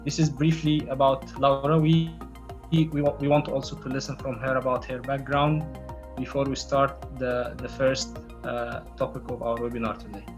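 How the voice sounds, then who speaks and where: Halting man hosting a webinar. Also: mid-range voice, flat delivery. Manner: slow, halting, many fillers and restarts. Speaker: webinar host. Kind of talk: webinar host introduction. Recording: music playing in the background.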